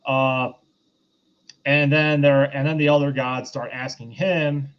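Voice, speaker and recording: A man with an average-pitched voice talks as a podcast host recapping a movie. His delivery is casual and halting, wandering along conversationally. The recording is a little dull and lo-fi. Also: little pitch movement, smooth. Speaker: podcast host reviewing a film.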